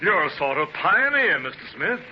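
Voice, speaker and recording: A man's voice: a little high, very animated, normal speed. An actor playing an encouraging older farmer, a proud father, in a 1930s radio drama. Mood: delighted. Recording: muffled, noisy 1930s radio transcription.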